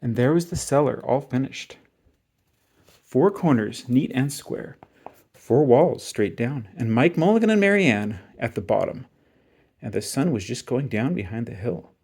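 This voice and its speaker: A man reading a children's story aloud in an expressive, dramatic and emphatic way. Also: mid-range voice, unhurried, creaky, husky. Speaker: storybook reader.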